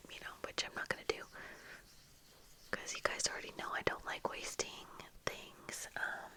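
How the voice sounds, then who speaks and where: A young woman whispering a casual, rambling ASMR get-ready chat, breathy and unhurried, close to the microphone. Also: sharp tone. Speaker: ASMR video creator. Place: small indoor room with bookshelves. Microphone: close fluffy-covered ASMR microphone, earphones worn.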